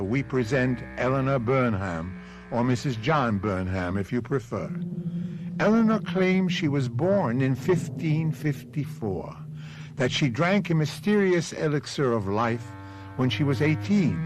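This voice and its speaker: A man's voice: medium pitch, animated. Theatrical male mystery host over music. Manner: theatrical, wry, deliberate phrasing. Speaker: host and narrator. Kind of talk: scripted radio mystery narration.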